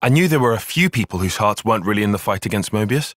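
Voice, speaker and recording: A man playing a cerebral, earnest young soldier and strategist in a video game, speaking in precise, formal, analytical language and quick to exasperation. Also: lowish voice, animated, no room sound, clean studio sound. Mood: measured, analytical. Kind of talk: video game cutscene voice acting.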